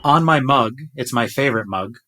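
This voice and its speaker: Excitable animated man in casual chat. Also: moderate pace, a little hiss or hum. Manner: excitable, animated, jumps up in pitch when enthusiastic. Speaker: polar expedition diver and science podcaster.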